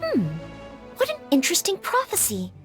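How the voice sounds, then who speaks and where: A very high, girlish voice gives a gentle young woman researcher in a game. She speaks politely and considerately, with music underneath. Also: wildly swooping pitch, moderate pace, exaggerated voice. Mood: thoughtful. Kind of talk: video game voice acting. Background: background music.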